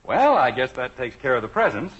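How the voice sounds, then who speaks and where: Wry sitcom dad, very animated pitch. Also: male voice, brisk, slightly rough. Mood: amused, awkward. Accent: American. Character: mild, wry, patient middle-aged family father. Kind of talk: 1950s radio sitcom acting. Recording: dull old radio recording, faint noise.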